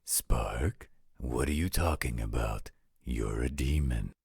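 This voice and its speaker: A man playing a gruff, blunt, protective werewolf with a gravelly, raspy voice in a roleplay audio drama. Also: a bass voice, slow pace, a little mellow, dry recording, clean studio sound, heavily acted. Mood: puzzled, gruff.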